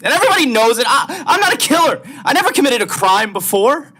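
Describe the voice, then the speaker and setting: Young male actor, condemned prisoner, radio drama, overwrought, stammering, piercing bright tone, very animated pitch. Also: fast talker, exaggerated voice. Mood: pleading. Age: young adult. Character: desperate, bitter condemned male prisoner, vengeful. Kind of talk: vintage radio drama acting.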